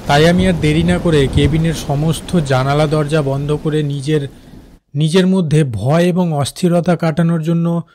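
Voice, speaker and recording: A man playing an uneasy night-shift railway worker, narrating his own horror story with suspense in an animated cartoon. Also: fairly high pitch, natural voice. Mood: calm recounting. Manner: suspenseful, with fearful repeated phrases. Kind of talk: first-person dramatic horror story narration.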